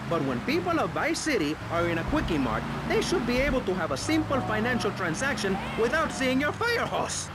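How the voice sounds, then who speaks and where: Satirical radio: fussy, earnest host, very lively pitch, exasperated, loud traffic behind. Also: male voice. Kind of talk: satirical talk radio acting. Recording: very noisy game capture, traffic sounds.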